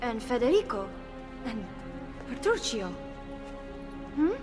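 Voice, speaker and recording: A woman's voice: on the high side, very animated, slow. An actress playing an anxious, distraught young noblewoman in a video game. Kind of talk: video game cutscene acting. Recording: long reverberant hall. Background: music.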